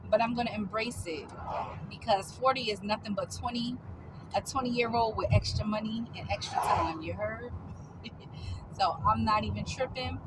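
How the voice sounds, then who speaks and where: A woman's voice: a little high, fairly even intonation, moderate pace, heavy background noise. A woman in her forties chatting casually and upbeat to her viewers in a fitness vlog. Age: 40s. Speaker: fitness vlogger. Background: loud music.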